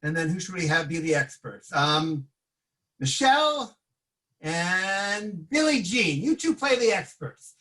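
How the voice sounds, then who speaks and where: A man with a high, bright voice hosts an improv comedy show. He talks casually as he sets up the next sketch. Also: big pitch swings, a little slow, low-quality recording. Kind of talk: casual, upbeat introduction of improv games.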